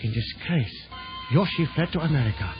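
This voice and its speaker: A male actor performs a gentle, aged rat sensei in a children's audio drama. His formal storytelling moves expressively over loud music, on a dull old tape recording. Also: mid-range voice, lively intonation, moderate pace, close to an everyday voice. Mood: solemn storytelling.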